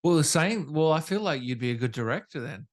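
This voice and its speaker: A man with a medium-pitched voice hosts an interview in a casual, conversational style. He speaks informally as he puts questions to his guest. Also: animated, a little fast. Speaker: interview host.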